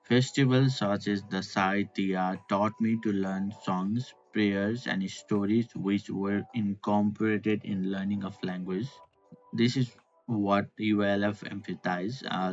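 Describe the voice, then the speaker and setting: Low-voiced man. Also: fairly even intonation, measured pace. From Nepal. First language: Nepali. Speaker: podcast guest. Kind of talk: reflective personal storytelling. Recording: dull lo-fi audio with faint background music.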